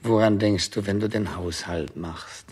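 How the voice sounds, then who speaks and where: Radio play casting: dying king, frightened and imperious, deep-leaning husky voice, halting declamation. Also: steady tone, darkish tone. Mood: wistful questioning. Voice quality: gravelly, husky. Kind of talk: 1960s German radio play acting.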